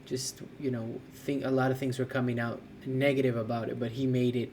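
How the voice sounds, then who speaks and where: Casual male podcast co-host, mid pitch, faint hiss behind him, easygoing and halting. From California. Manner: relaxed, searching for words, frequent fillers.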